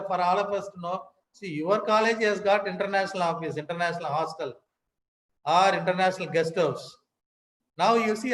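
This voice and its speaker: An older man with an Indian accent giving a formal lecture, emphatic and pausing often, repeating key words. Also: high-pitched, fairly bright tone. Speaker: academic speaker. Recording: echoey hall.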